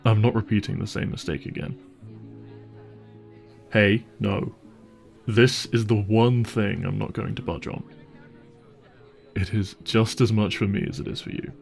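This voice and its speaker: Earnest, low-voiced boyfriend played by a man, gravelly. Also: steady tone, a little slow, long pauses, soft background music. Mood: earnest, resolute. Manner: earnest, tender, hesitant phrasing with sighs. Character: apologetic, devoted, secretly wealthy boyfriend on a dinner date. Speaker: voice actor. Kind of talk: intimate roleplay audio drama.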